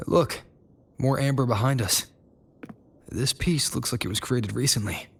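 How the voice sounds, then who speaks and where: A man's voice: mid-range voice, a little slow, vocal fry, slightly rough. An actor playing a thoughtful young male traveler in a video game, phrasing things a little tentatively. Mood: alert. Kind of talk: video game cutscene voice acting.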